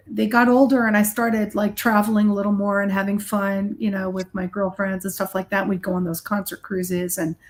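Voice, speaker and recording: Candid middle-aged woman chatting casually. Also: medium pitch. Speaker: guest, rock guitarist and recording artist. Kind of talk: casual interview chat.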